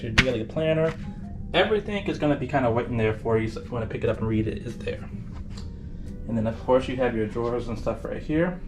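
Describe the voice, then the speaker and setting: A tired man giving a casual, informal tour as a travel vlogger, addressing viewers in a folksy way. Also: mid-range voice. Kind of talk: casual cruise ship tour commentary.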